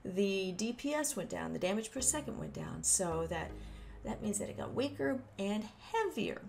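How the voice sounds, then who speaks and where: A woman's voice: medium pitch, big pitch swings, normal speed, creaky, a little mellow. A woman giving a casual, chatty explainer on a game update, with informal asides and folksy exclamations. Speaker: gaming channel host. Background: background music.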